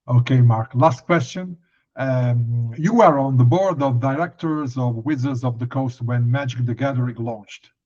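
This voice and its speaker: A man interviewing a guest, putting questions in hesitant pieces with pauses between them. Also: medium pitch, darkish tone, muffled recording.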